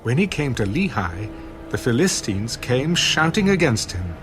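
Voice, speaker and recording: Expressive male Bible narrator, widely varied pitch. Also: measured pace, music in the background. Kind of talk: dramatic scripted Bible narration.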